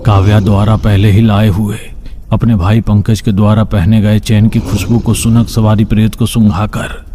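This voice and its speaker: A man's voice: lowish voice, flat delivery, moderate pace, mellow, faint music behind. A man reading scripted story narration for a cartoon tale.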